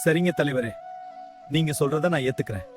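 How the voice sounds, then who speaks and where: Young greedy farmer male actor, meek, raised pitch, animated moral-tale dialogue with prominent music. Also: brisk, close to an everyday voice. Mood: pleading, remorseful.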